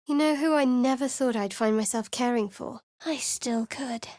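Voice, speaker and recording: Woman voicing a secretive cleric from a game, dry and sarcastic, a bit high, super dry studio sound. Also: normal speed, darkish tone, dry recording, natural voice. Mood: wry. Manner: dry, wry and cool. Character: guarded, sardonic young woman cleric with secrets. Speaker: companion character in a video game.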